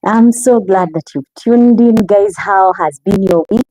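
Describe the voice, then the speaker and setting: A woman's voice: medium pitch, a little slow, rough recording. A woman from Uganda, hosting a casual live-stream podcast and greeting viewers in a chatty, welcoming way. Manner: warm welcoming, chatty, talks straight to viewers. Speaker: podcast host.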